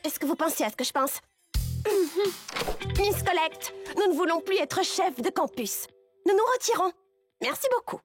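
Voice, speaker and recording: A woman voicing a confident, competitive teenage schoolgirl in a French cartoon dub, self-assured and expressive. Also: very high-pitched, moderate pace, soft background music. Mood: reluctant.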